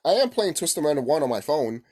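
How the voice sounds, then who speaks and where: A man hosting a casual, unscripted gaming vlog, rambling excitably, repeating himself and swearing casually. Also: on the high side, brisk. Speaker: gaming video host.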